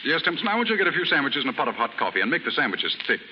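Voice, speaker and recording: An actor playing a kindly, well-off novelist, polite and gently amused, in a 1930s radio drama. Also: male voice, fairly high pitch, sing-song, very fast. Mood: brisk, amused. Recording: muffled, very noisy, reverberant 1930s radio recording.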